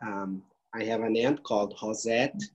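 A man from Brazil with a Brazilian Portuguese accent, a guest speaking earnest, halting English with fillers. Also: on the low side, highs cut off. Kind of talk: interview answers.

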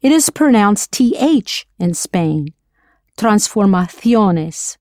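A woman whose first language is English, narrating an audio language lesson in a clear, encouraging way, slowing down to model pronunciation. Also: mid-range voice, sing-song, darkish tone, dry recording, studio quality. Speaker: language teacher.

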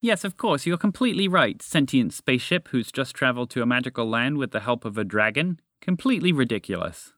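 A high-pitched, swooping toucan from a children's storybook, acted out by a man. He is formal and earnest, with an emphatic delivery that can bow in reverence or bite with sarcasm. The sound is dry and close. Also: animated, fairly quick, a bit bright, no room sound. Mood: sarcastic.

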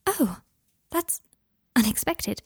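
A woman voicing a brisk, clever and kindly witch in an audiobook, articulate and earnest. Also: fairly high pitch, big pitch swings, breathy edge, no room sound, studio quality, voice pushed up, full character voice. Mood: touched, kind. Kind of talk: audiobook character voice.

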